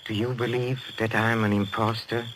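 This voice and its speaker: An actor playing a suave, sardonic foreign medium with formal, insinuating courtesy, in 1940s radio drama style. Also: male voice, on the low side, little pitch movement, fairly quick, natural voice. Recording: noisy old radio recording.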